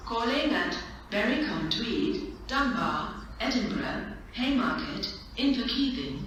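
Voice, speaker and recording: A woman makes scripted train announcements at a slow, deliberate pace, phrase by phrase. Her voice comes from a distant platform speaker, swimming in echo, with faint wind noise behind it. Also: medium pitch, fairly bright tone. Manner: measured, list-like delivery in separate phrases. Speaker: railway station announcer. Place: railway station platform, over public address speakers. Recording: echoey station PA from afar, faint wind noise.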